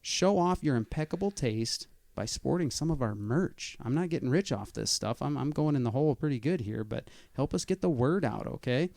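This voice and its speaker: A man hosting a casual podcast in a small studio, greeting listeners with energetic showmanship. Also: medium pitch, moderate pace, gravelly, few pauses, dry recording. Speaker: podcast host.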